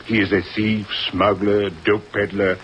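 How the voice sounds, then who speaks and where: A man's voice: lowish voice, level tone, a little slow, close to an everyday voice. Droll French police inspector, played by a man, old radio. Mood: matter-of-fact. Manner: droll, urbane, mock-formal. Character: suave, wry French-speaking police inspector, worldly and unhurried. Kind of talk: old-time radio drama acting. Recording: muffled old radio recording, faint noise.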